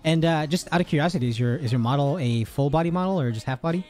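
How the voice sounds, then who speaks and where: A man's voice: on the high side, fast, a little mellow, soft background music. Laid-back, slangy young male host. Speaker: interviewer, streamer. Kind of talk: casual interview chat.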